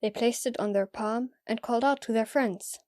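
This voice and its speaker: High-voiced woman narrating a story. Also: moderate pace, a little mellow, dry recording, studio quality.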